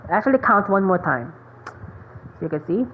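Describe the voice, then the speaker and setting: A woman's voice: lowish voice, expressive, normal speed. A woman giving casual, rambling gameplay commentary, thinking aloud as she streams. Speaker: gaming streamer. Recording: thin phone-like mic, noisy.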